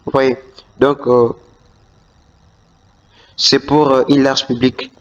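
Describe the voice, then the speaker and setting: Flat, hesitant man with dull lo-fi sound. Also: mid-range voice, slow. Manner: hesitant, many "euh" fillers and restarts. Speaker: YouTube tutorial host. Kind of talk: screen-recorded tutorial walkthrough. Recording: dull lo-fi voice-over.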